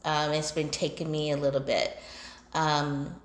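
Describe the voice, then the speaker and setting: Slow, low-voiced woman in an echoey room. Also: level tone. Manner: unhurried, pausing between short phrases while busy with chores. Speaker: solo entrepreneur and vlogger. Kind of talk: casual chat to camera. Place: large indoor basement-like room with exposed pipes. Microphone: phone propped at about arm's length or more.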